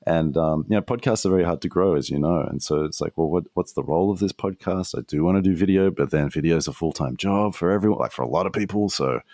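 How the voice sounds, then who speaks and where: Very deep-voiced reflective man. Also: fast talker, few pauses, warm tone, no echo, studio quality. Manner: thoughtful and rambling, many fillers and restarts. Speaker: podcast guest, podcaster and former radio host. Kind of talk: casual reflective conversation.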